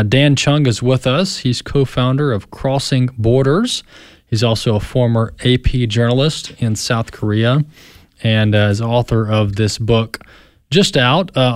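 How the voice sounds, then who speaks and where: A man's voice: mid-range voice, no echo, clean studio sound. A man hosting a radio talk show, introducing a guest and asking long, rambling questions with fillers. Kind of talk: radio interview.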